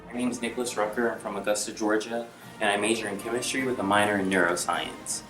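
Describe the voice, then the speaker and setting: Teen guy, real deep voice, crisp edge, pretty even tone. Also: fast talker, reverberant, music in the background, rough recording. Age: late teens. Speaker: college student. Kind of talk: interview answer. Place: indoor room before a campus backdrop.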